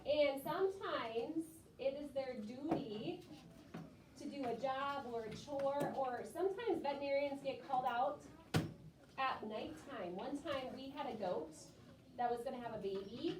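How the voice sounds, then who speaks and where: A woman's voice: sits high, measured pace. A woman teacher talking to young children in a classroom, explaining things simply and rephrasing as she goes. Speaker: elementary school teacher. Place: classroom, away from the mic. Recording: echoey classroom from far off, clearly noisy.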